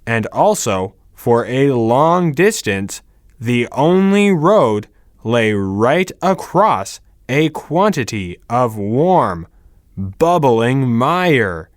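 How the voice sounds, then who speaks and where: A man reading English words and fairy-tale text as slow, carefully enunciated scripted narration. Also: mid-range voice, sing-song, no echo, studio quality.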